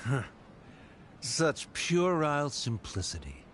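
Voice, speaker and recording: A man's voice: medium pitch, wildly swooping pitch, slow, fairly bright tone, full character voice. Snooty scholar bad guy in a game, pompous, lots of inflection, faint background noise. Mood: disdainful. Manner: pompous, condescending. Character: haughty, disdainful male scholar antagonist. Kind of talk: video game voice acting.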